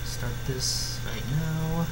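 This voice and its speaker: A man reading a story aloud informally as an amateur, stumbling and repeating phrases, with off-the-cuff asides. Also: a little high. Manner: halting, stumbles over words and repeats phrases. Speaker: amateur fan fiction reader. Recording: roomy echo, clear background noise.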